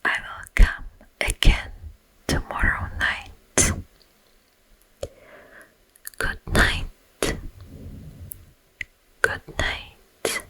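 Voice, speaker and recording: A woman whispering as a sleep paralysis demon in an ASMR roleplay, softly menacing yet soothing, telling an eerie story in hushed, drawn-out phrases. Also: low-quality recording. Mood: soothing, ominous. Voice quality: breathy, unvoiced whisper. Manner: slow, hushed, drawn-out phrasing with long pauses. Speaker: ASMR performer.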